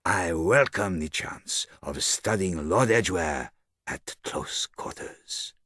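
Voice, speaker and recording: A man voicing a courteous, self-assured detective in an audiobook, formal and deliberate, with a French accent. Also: deep voice, lively intonation, bright, forceful tone, breathy edge, dry recording, studio quality, heavily acted.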